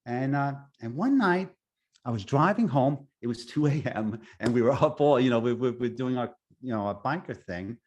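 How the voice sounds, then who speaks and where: A middle-aged man with a thick Long Island accent tells a personal story in a halting, earnest way. His voice sits in a middle pitch, and he keeps restarting phrases and filling gaps with "uh" and "um". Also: lively intonation, darkish tone, muffled recording. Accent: Long Island New York. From Long Island, New York. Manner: halting, many restarts and fillers, laughs lightly. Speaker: guest speaker, near-death experiencer.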